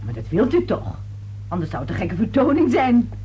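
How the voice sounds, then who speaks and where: A woman's voice: fairly high pitch, huge pitch swings, normal speed. An actress playing a flighty, pushy lady in a radio play, with theatrical delivery, on a dull, noisy old recording. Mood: coy, insistent.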